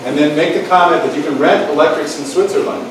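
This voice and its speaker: A man running a casual lecture session, rambling cheerfully from one point to the next. He sounds far from the microphone in a very echoey hall, and there is clear background noise. His pitch sits in the middle range. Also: fast talker. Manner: rambling, upbeat, full of restarts. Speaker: moderator introducing speakers. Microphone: distant room mic.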